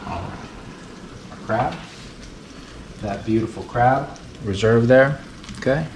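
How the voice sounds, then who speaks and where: A man from the United States hosting a relaxed, chatty cooking tutorial in his kitchen. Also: mid-range voice, slow pace, noisy background. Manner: relaxed, chatty, fond of saying beautiful. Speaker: cooking channel host. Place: kitchen with food frying on the stove. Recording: roomy sound, mic set at a distance, sizzling pan.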